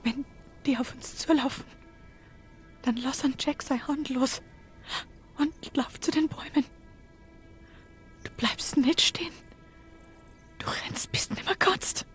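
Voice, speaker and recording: A woman's voice: high-pitched, unhurried, long pauses, in a whisper. A woman playing a desperate, intense survivor in an audio drama, speaking urgently and emotionally in short phrases. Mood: urgent, hushed. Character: desperate armed female survivor protecting a child, intense. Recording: background music under the voice.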